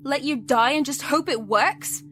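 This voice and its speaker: A woman's voice: sits high, unhurried, fairly bright tone, a little hiss or hum. A woman playing a protective, exasperated companion to a villain in an audio roleplay, speaking earnestly and reproachfully. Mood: worried, pleading. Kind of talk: dramatic audio roleplay acting.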